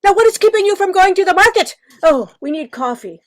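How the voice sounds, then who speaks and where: Woman acting a high-pitched, bossy 1940s mother. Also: expressive, fairly quick, no echo, clean studio sound. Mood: bossy, impatient. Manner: fussy, exasperated, emphatic. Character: bossy, quick-witted 1940s mother obsessed with control. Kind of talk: amateur radio play acting.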